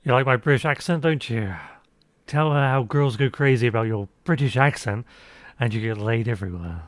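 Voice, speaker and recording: Man, let's play commentator, sarcastic and mocking, mid-pitched voice, dry close mic. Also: brisk, dry recording. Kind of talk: reading game choices aloud with sarcastic asides.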